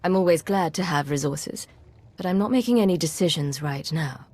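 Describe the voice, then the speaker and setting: A woman's voice: low-pitched, lively intonation, moderate pace, a little mellow. An actress playing a composed, loyal female secret agent in a video game, speaking in a controlled, serious and direct manner. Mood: firm. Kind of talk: cinematic video game dialogue.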